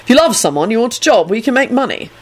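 A woman's voice: a little high, big pitch swings, rapid-fire, husky, voice pushed up. A breezy young man, voiced by a woman narrator talks in a knowing, cheerful way. He is a tactless know-it-all student from a storybook, casually matter-of-fact.

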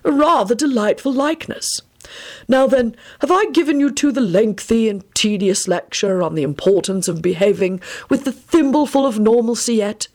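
A sleepy, whimsical old wizard , as a woman reader puts him on, drawling his words slowly with a lofty, mannered air. His pitch climbs and dips a lot. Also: expressive, darkish tone. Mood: serene. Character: aged male wizard headmaster, drowsy and whimsical.

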